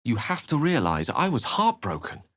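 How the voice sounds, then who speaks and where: An actor playing an eloquent, theatrical and wry human wizard in a fantasy video game, with articulate, literary phrasing. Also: male voice, medium pitch, wildly swooping pitch, moderate pace, a bit bright, dry recording. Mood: thoughtful, eloquent.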